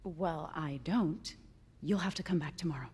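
Game supporting role: cool, unwelcoming woman, husky and rough-edged, heard in a reverberant hall. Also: low-pitched, normal speed, a little mellow. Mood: curt, cool. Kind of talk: video game cutscene acting.

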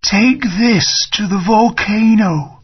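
An actor voicing a calm mutated creature who gives slow advice in a video game. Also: medium pitch, slightly rough. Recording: muffled lo-fi audio.

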